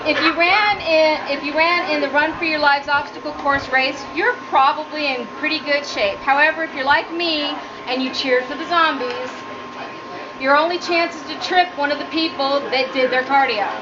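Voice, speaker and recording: A woman's voice: steady tone, moderate pace. A woman giving a humorous prepared speech as a student, with a nervous, giggly delivery. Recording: muffled low-quality audio, noticeable background noise.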